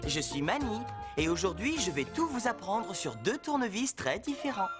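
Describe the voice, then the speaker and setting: An actor playing a friendly, patient handyman who hosts a children's cartoon lesson, encouraging and explaining clearly to his tools. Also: medium pitch, big pitch swings, moderate pace, close to an everyday voice. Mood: cheerful, instructive. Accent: French with Spanish words mixed in. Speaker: host of a children's how-to segment. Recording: music behind the voice.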